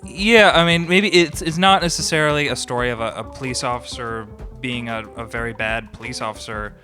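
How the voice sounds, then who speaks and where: Bright-voiced, hesitant man guest. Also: mid-range voice, brisk, faint music behind. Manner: hesitant, hedging with many fillers. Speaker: bassist guest. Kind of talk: casual conversation.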